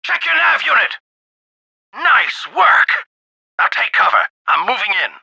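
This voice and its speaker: Casting: bold older alien wingman general for a game, wildly swooping gravelly delivery, loyal and commanding, over dry radio comms. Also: very high voice, sing-song, unhurried, sharp tone, dry recording, shouting, dull audio, exaggerated voice. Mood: focused, commanding. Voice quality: gravelly, raspy. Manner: military, commanding, emphatic. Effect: radio filter. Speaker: wingman pilot giving orders over radio. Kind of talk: video game voice acting, combat radio chatter.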